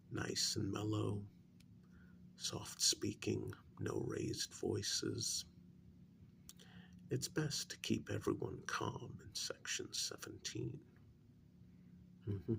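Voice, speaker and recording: Man acting as a creaky, soft-spoken calm researcher. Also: fairly low pitch, slight background noise, full character voice. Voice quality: breathy, near-whispered, creaky. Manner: gentle, deliberate, soothing, with pauses. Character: calm clinical male researcher gently interviewing a werewolf patient. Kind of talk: soft-spoken ASMR role-play.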